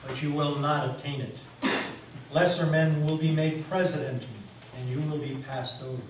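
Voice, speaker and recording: Male reader as the devil, grim smooth-talking stranger for a stage reading, slightly high, ominous, in an echoey hall. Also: steady tone, normal speed, natural voice. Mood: coolly foretelling. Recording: echoey hall, distant mic, faint noise.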